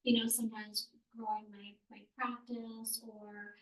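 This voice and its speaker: A woman's voice: mid-range voice, flat delivery, unhurried, recorded from a distance. A middle-aged woman hosting a podcast, encouraging and reflective as she responds to her guest. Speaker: podcast host. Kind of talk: supportive interview conversation. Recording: echoey room, off-mic.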